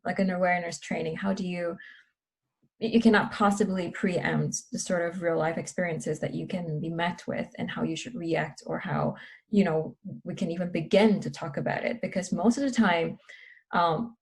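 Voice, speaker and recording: A woman researcher in a casual panel discussion, talking thoughtfully and pausing often with fillers. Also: on the low side, steady tone, husky. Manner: thoughtful, pauses with many fillers. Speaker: researcher guest.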